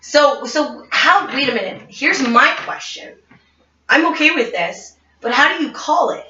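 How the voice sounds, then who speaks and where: Woman host, brash, profane, high bright voice, casual chat, roomy distant slightly muffled recording. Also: expressive, unhurried, a little hiss or hum. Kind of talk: casual rowdy group chat.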